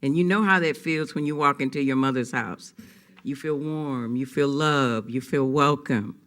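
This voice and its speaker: Man giving measured, heartfelt remarks. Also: medium pitch, fairly bright tone. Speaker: speaker at a sister-city ceremony. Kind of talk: formal public remarks.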